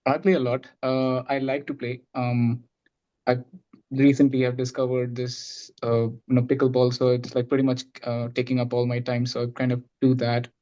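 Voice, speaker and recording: Mellow man, flat tone, dull audio. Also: mid-range voice, moderate pace. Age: 30s. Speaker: dating show contestant. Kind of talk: casual, rambling answer.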